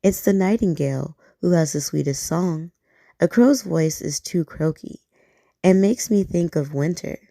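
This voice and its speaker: Smug storybook woodpecker, read by a woman, low, dark, gently mocking. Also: measured pace, creaky, no echo, studio quality. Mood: teasing. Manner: teasing, mocking.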